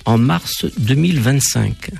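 A man hosting a radio interview, asking formal, measured questions with frequent fillers. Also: mid-range voice, expressive, gravelly, loud music behind the voice. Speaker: radio host.

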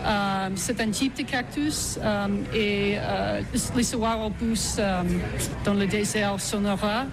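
Slow, hesitant woman over loud music. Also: medium pitch, fairly even intonation. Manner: hesitant, searching for words. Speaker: interview guest. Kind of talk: halting conversational interview answers.

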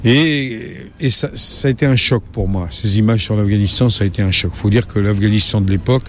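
A man in his late sixties with a fairly low voice recalls his travels in an unhurried interview. He hesitates often, fills gaps and restarts his phrases. A faint hum of ambient noise sits behind him. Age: 60s. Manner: hesitant, many fillers and restarts. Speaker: travel reporter and photographer. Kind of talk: relaxed interview answers.

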